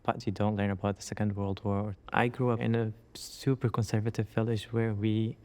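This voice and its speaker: Guy, reflective interview chat, dark soft-edged tone, pretty even pitch. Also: brisk. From Syria. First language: Arabic. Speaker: interviewee, refugee.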